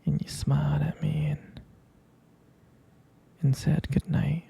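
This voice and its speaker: A man playing a tender, smitten boyfriend in an intimate ASMR roleplay, speaking softly and haltingly in a breathy near-whisper. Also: fairly high pitch, completely flat delivery, heavily acted. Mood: tender, wistful. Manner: hesitant, halting, gentle. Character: tender, smitten boyfriend reminiscing about a first date.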